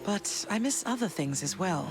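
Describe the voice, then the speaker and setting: A woman playing a gentle, reserved mother and exiled queen in a video game, speaking in a measured, reflective way. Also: mid-range voice, animated, over music, natural voice. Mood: wistful. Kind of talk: video game character dialogue.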